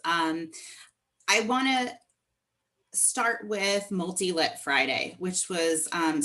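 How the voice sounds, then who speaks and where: A woman's voice: sits low, fairly bright tone. A woman moderating a video call discussion, thanking guests and passing questions along.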